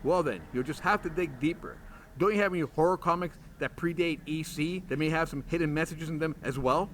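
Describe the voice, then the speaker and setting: An actor playing a cocky, flirtatious monster hunter who is sarcastic and pushy, in a comedy horror audio drama. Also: male voice, a little high, brisk, a bit bright, slight background noise. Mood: scheming. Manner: sarcastic, pushy, smooth-talking.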